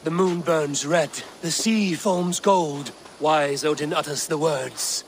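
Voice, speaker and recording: Higher-pitched bold Viking raider, noisy ambience. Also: male voice, slow pace. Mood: dramatic storytelling. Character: bold Norse Viking raider, cunning and theatrical. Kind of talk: video game acting.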